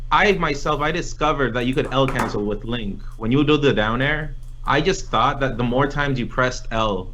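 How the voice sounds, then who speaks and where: A man's voice: mid-range voice, fairly bright tone. A young man from Nicaragua, an interview guest, telling his story in a casual, rambling way with many fillers and restarts. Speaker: interview guest, competitive gamer. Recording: slightly dull lo-fi audio.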